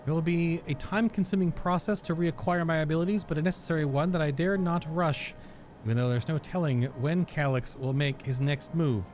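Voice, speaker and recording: A man reading game dialogue aloud as an earnest, dutiful fantasy character with formal, measured wording. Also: a little high, a little mellow, a little hiss or hum, lightly acted. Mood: earnest, resolute.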